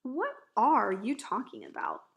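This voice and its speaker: A woman's voice: a little high, wildly swooping pitch, a little slow, creaky, full character voice. A woman voicing a curious, earnest young girl in a storybook, with an inquisitive way of talking. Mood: puzzled.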